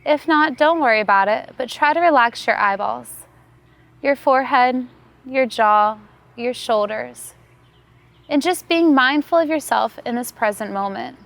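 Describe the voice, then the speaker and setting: A woman yoga teacher leading a guided meditation, giving calm, unhurried, soothing instructions. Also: on the high side, vocal fry.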